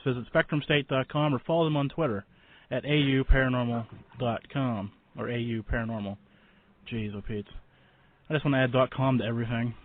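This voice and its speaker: A man hosting a casual radio interview show, rambling along in a joking, self-deprecating manner. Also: mid-range voice. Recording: dry, lo-fi phone-like radio audio.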